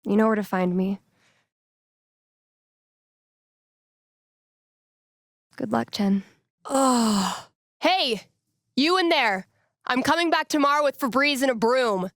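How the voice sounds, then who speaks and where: A woman's voice: fairly high pitch, unhurried, dry recording. Wry young female DJ for a narrative game: casual, sardonic, playful, very dry close studio sound. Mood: teasing. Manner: sardonic, deadpan asides, playful mock grandeur. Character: wry young woman radio DJ and record store clerk. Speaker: radio DJ. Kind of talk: scripted game dialogue, casual radio patter.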